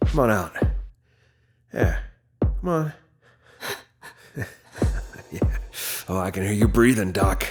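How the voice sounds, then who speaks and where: Slow-talking menacing male thug. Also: on the low side, expressive, faint music behind. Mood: taunting menace. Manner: taunting, rough colloquial speech. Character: menacing, crude male henchman turned cowardly when threatened. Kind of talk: audio drama acting.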